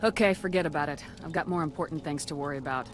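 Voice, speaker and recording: An actress playing a tough, blunt military commander in a video game, questioning people in a curt, confrontational manner. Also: female voice, deep voice, fast talker, faint music behind, lightly acted. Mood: threatening. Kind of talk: video game dialogue acting.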